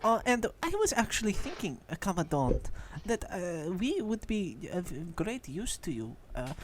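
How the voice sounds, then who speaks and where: A player puts on a flamboyant, sly adventurer for a tabletop game. The voice sits at a middle pitch and wheedles with halting self-assurance, over faint background noise. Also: big pitch swings. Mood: sly, persuasive.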